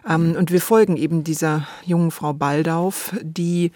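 A woman's voice: low voice, fairly even intonation, moderate pace, warm tone, no echo, studio quality. A woman co-hosting a podcast, chatting unscripted and thoughtfully about radio plays, often searching for her words. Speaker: podcast co-host.

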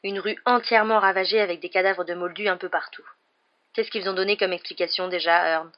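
A woman's voice: on the low side, fairly even intonation, a little fast, highs cut off, close to an everyday voice. A woman voicing a cocky, gossipy young male bus conductor from a storybook, smug and dramatic, tossing in tag questions. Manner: chatty, ends remarks with tag questions.

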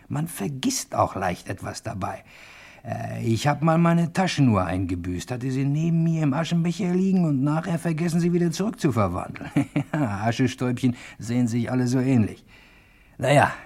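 Cocky amateur detective, radio crime drama. Low-creaking, raspy, casual, talks straight to the listener. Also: male voice, darkish tone. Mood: amused, chatty. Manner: conversational, casually boastful. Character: cocky, chatty male amateur sleuth confiding in the listener. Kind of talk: German radio crime play narration.

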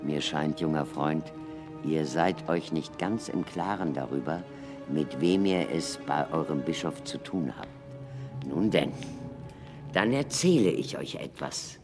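An older actor in a German radio drama playing a wry, learned former prisoner, speaking formally and with measured dramatic pauses. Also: male voice, extremely low pitch, lively intonation, exaggerated voice. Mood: wry, reflective. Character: learned former prisoner, wry doctor recounting his past. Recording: reverberant room, faint music underneath.

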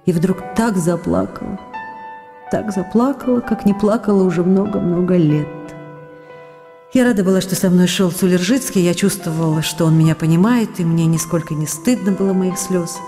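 A woman's voice: fairly low pitch, normal speed, close to an everyday voice. An actress playing a young woman writing tender, longing love letters, read in an intimate, emotional way, with faint music behind. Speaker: letter reader. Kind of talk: radio drama letter reading. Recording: reverberant studio with faint music.

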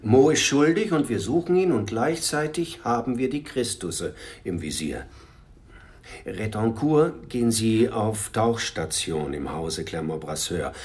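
An actor playing a brusque, composed police commissioner in a radio crime drama, giving orders. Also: male voice, fairly low pitch, animated, a little slow, a little mellow. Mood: matter-of-fact, commanding.

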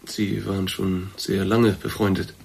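Ein Schauspieler spielt in einem Hörspiel einen besorgten, vorsichtigen Vorgesetzten, der förmlich und eindringlich spricht. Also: male voice, low voice, flat delivery, vocal fry, darkish tone, breathy edge, exaggerated voice. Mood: concerned, discreet. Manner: formal, measured, insistent. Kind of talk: naturalistic German radio drama.

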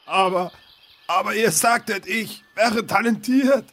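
Crushed young apprentice, slightly high voice, slow, stammering, submissive, fantasy parody radio play. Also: male voice, a bit bright. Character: meek, crushed young wizard's apprentice, pleading. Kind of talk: fantasy parody audio drama acting.

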